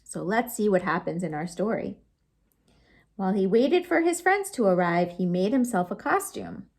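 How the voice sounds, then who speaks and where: A woman storytime host reading picture books aloud to children, expressive and sing-song, with chatty asides to her young listeners. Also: mid-range voice, normal speed. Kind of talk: animated picture-book read-aloud for children.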